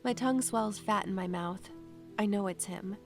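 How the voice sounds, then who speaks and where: A woman's voice: mid-range voice, moderate pace, soft background music, close to an everyday voice. A woman playing a wistful young student who talks to spirits, in a poetic, dramatic audio drama style. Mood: haunted, wistful. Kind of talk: audio drama with poetic first-person narration.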